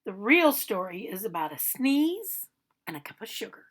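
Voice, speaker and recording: A woman's voice: medium pitch, very animated, normal speed, fairly bright tone. A woman voicing an aggrieved male big bad wolf, telling his own storybook tale in a chatty, theatrical way and protesting his innocence. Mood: indignant, chatty. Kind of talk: animated storybook read-aloud.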